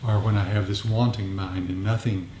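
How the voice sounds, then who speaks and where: A man's voice: lowish voice, flat delivery, normal speed. A man leading an informal meditation group discussion, explaining reflectively and often repeating his phrases. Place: meditation group meeting room. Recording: echoey room, faint background noise.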